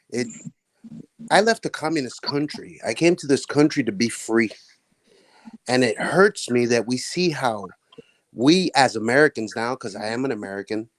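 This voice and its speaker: Cuban-born guy, heartfelt and measured, dry close audio. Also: mid-range voice, no room sound, rough recording. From Cuba. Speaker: participant in a group voice chat. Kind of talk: casual chat.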